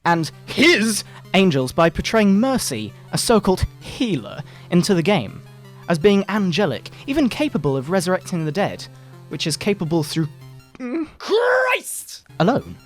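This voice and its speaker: Man acting as outraged fundamentalist preacher, sanctimonious, pompous, highish animated voice, theatrical drawn-out emphasis, comic sermon, music behind. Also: heavily acted. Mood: pompous outrage. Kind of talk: mock-dramatic reading of a moral-panic sermon. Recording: background video game music.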